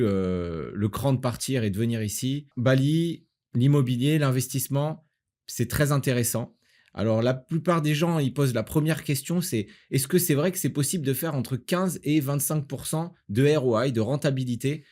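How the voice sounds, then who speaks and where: A man from the south of France with a medium-pitched voice, hosting a casual podcast. He speaks as a real estate agent explaining his field, often posing a question and answering it himself. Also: normal speed, darkish tone.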